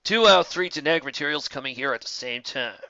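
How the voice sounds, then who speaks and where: A man's voice: mid-range voice, animated, fast talker, pushed and bright, no room sound, lo-fi sound. A man voicing a sinister pegasus stallion henchman in a story, casual, taunting and falsely innocent. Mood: smug menace.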